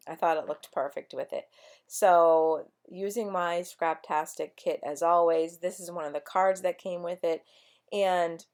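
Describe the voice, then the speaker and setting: A woman with a voice she says is going hoarse, chatting casually through a scrapbooking tutorial with lots of ums. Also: fairly low pitch. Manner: rambling, chatty, many ums. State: losing her voice. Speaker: scrapbooking vlogger. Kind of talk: casual craft tutorial chat.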